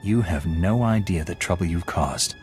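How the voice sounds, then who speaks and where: A male actor voices an earnest young knight from a sci-fi game. His voice is deep and sure, his delivery terse and steady, with clear music underneath. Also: normal speed, lightly acted. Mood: firm. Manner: confident, terse. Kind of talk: video game voice acting.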